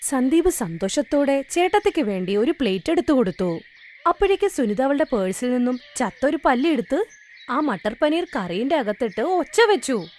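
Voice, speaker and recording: A woman's voice: sits high, very animated, normal speed, darkish tone. A woman narrating a scripted children's story as a storyteller.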